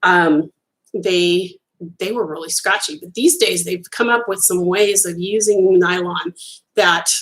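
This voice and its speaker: A woman's voice: lowish voice, a bit bright, rough recording. A middle-aged woman whose first language is Italian, chatting enthusiastically through a casual live-stream unboxing, with lots of ums. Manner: chatty and enthusiastic, gushing over colors, many ums. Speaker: crochet designer hosting a live stream.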